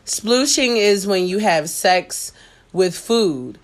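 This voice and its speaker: A woman's voice: medium pitch, lively intonation, slow pace. A woman hosting a casual podcast from her kitchen, talking frankly and playfully and laughing often. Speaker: podcast host. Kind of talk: casual explicit podcast chat. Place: home kitchen, cooking while talking.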